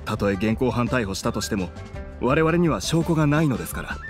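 A man's voice: mid-range voice, animated, a little fast, close to an everyday voice. Game role: loyal subordinate agent male actor, middle register, formal and earnest, light music underneath. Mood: apologetic, reporting. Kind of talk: Japanese game voice acting.

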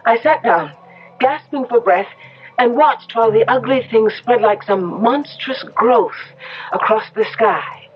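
A woman's voice: fairly high pitch, a little slow, lightly acted. A woman plays a headstrong young wife on a ranch in an old radio play. She speaks and narrates with emotive, careful diction, uneasy underneath. The broadcast sound is dull, with prominent music behind her. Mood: tense narrating. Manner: dramatic, emotive, carefully enunciated. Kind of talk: 1970s radio drama acting and first-person narration.

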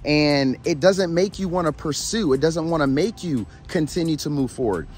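A man with a hoarse voice, sounding like he is losing it, tired but energetic as he gives a motivational talk to camera, addressing viewers as "family" and checking in with "all right?". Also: on the high side, normal speed. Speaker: motivational YouTube host and coach. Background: background music.

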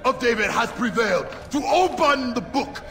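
An actor playing a fervent, gun-loving former warlord turned preacher in a game, theatrical, swinging from hushed to thundering. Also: male voice, extremely high pitch, lively intonation, moderate pace, heavily acted. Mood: fervent, exalted. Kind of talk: scripture-quoting sermon in a game cutscene.

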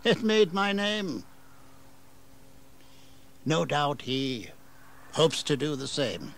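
An older colonial governor in a history audio drama. He speaks slowly in a fairly high voice, stately, weary and proud. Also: sing-song, vocal fry, fairly bright tone, slight background noise, exaggerated voice. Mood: weary, reflective.

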